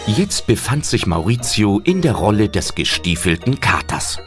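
A man's voice: on the low side, sing-song, moderate pace. A man playing the narrator of an audio drama, telling the story expressively from a script. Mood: narrating. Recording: loud background music under voice.

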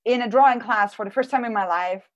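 A woman's voice: on the high side, fast talker, no echo, lo-fi sound. A woman in her forties from Austria, giving a casual live talk about her art over a video call, thoughtful, with frequent ums and restarts. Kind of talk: casual presentation. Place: small room at home. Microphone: earphone headset on a video call.

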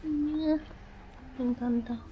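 Slow-paced woman murmuring over a game. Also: high voice, steady tone, lo-fi sound. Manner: hushed, murmuring to herself, with English interjections. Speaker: gaming channel host. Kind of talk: casual horror game commentary. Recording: clearly noisy, game sounds behind. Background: game ambience.

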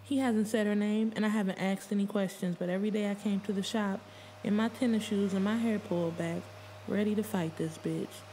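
A woman narrating first-person fiction as an audiobook reader, expressive and full of streetwise slang. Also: medium pitch, a little fast, slight background noise. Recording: music bed under the voice. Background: background music.

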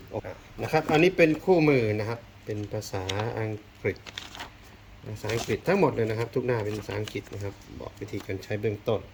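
Man, medium pitch, casual unboxing host, thinking aloud, polite, faint noise, rustling and clicks. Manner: thinks aloud, exploratory, frequent polite particles. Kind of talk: casual hands-on product unboxing commentary.

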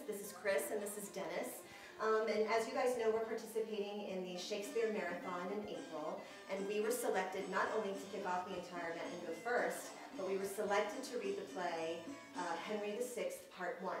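A woman's voice: medium pitch, low-quality recording. Enthusiastic American woman over background music. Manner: enthusiastic, gushing with superlatives. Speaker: theater artistic director, presenter. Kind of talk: casual promotional address and interviewing colleagues. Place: small indoor offices of a theater.

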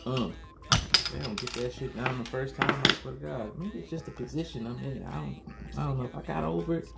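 A man's voice: medium pitch, fairly quick, creaky, loud music behind the voice. A man in his thirties reviewing a beer to camera at home, talking casually in slang and repeating himself. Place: small room at home, indoors.

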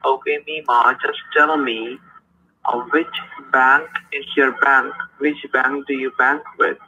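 A man's voice: medium pitch, level tone, slow, noisy background. A man on the phone, insistently repeating questions and pressing for details. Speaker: phone scammer. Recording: muffled phone line.

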